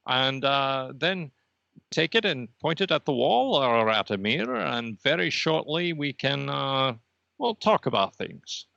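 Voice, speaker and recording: A patient, solemn being of pure energy acted by a man speaks with measured gravity in a comic podcast. The voice is creaky and forceful, recorded very dry and a little dull. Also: medium pitch, very animated, no echo, exaggerated voice. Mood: matter-of-fact. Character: patient, cryptic collective red laser dot, energy being. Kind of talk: improvised comic character interview.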